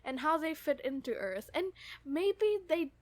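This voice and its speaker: A woman's voice: sits high, lively intonation, a little slow, darkish tone, no room sound. A woman co-hosting a podcast, chatting casually and gushing as a fan. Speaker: podcast co-host.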